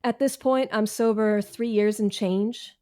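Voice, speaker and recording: A woman's voice: mid-range voice, steady tone, unhurried, dark tone. An American woman talking casually as an interview guest, candid, wry and self-deprecating, with slangy phrasing. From Washington, D.C., United States.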